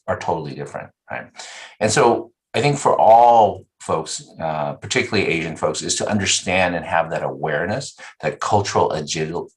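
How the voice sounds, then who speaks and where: A man raised in the United States, a nonprofit executive giving measured, explanatory interview answers. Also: low-pitched, poor audio. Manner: measured, explanatory, uses "you know" and "right?" often.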